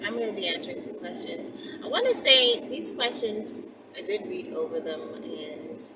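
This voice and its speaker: A woman talking casually and bluntly to her viewers, with a sassy manner, as a content creator. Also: mid-range voice. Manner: sassy, blunt, heavy swearing. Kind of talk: casual rant to camera, addressing viewers directly. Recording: distant mic with clear background noise.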